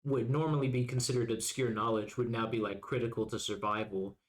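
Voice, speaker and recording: A man's voice: mid-range voice, fairly even intonation, brisk. A man co-hosting a podcast, chatting in a laid-back, reflective, conversational way. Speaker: podcast co-host. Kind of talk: casual podcast discussion.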